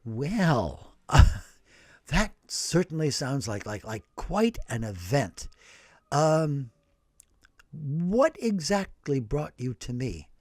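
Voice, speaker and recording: A man's voice: medium pitch, big pitch swings, no echo, studio quality, exaggerated voice. A man doing a timid, stammering priest. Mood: flustered. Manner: stammering, soft and halting. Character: timid, hesitant middle-aged male priest.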